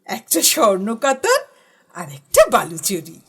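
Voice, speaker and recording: Lilting, affectionate elder sister. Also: female voice, mid-range voice, wildly swooping pitch, measured pace, husky, heavily acted. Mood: chatty, teasing. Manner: affectionate, fussing, teasing. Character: doting, chatty married elder cousin sister. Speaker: supporting character. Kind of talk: audio drama acting.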